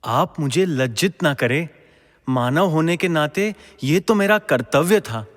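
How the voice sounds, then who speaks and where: An actor playing a humble, noble young king in a mythological audio drama, speaking in measured, formal phrases. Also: a little high, expressive. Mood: modest, dignified. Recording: long reverberant hall echo.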